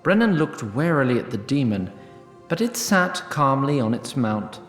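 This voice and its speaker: A man narrating a fantasy audio drama from a script in a measured, dryly told storytelling style. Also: medium pitch, very animated. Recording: echoey, music behind.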